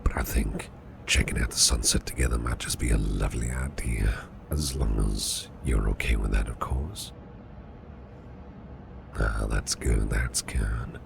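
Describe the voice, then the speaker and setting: A man playing a caring, reassuring businessman boss in a soft, half-whispered ASMR roleplay, soothing and unhurried, gently flirtatious. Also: fairly even intonation, heavily acted. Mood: shyly inviting, tender. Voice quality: very deep, gravelly, breathy half-whisper. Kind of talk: ASMR roleplay, personal attention. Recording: clearly audible background noise.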